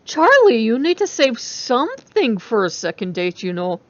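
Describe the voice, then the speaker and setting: A woman voicing an excitable, nosy young female roommate with a gushing, exaggerated manner in a story reading. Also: fairly high pitch, normal speed, no echo, highs cut off. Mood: teasing, encouraging.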